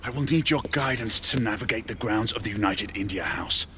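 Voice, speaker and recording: A man's voice: fairly low pitch, a little fast, sharp tone, a little hiss or hum. A man playing a determined Victorian knight in a game cutscene, formal and earnest, with a British accent. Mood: resolute. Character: grave, determined Victorian knight, urgent and loyal. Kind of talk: video game cutscene acting, period drama.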